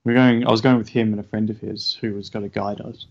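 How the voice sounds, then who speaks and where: A man co-hosting a casual podcast, talking hesitantly with many fillers and a self-deprecating manner, feeling low on energy. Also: level tone, quick pace, creaky, darkish tone. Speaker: podcast co-host. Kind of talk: casual chat. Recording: dull lo-fi sound.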